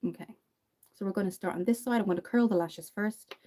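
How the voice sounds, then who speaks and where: A woman's voice: mid-range voice, a little fast, warm tone. A young woman from Dublin with an Irish accent, chatting away through a casual livestream makeup tutorial and answering viewer comments. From Ireland.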